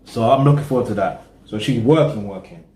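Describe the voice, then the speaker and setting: A man's voice: mid-range voice, a little fast, warm tone, echoey room. A British man, casually chatting through a music reaction video and rambling with lots of "you know what I mean". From Britain.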